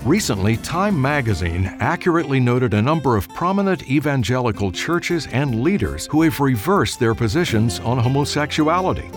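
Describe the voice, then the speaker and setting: A man reading a scripted radio promo in a polished announcer delivery. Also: mid-range voice. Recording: promo with music bed.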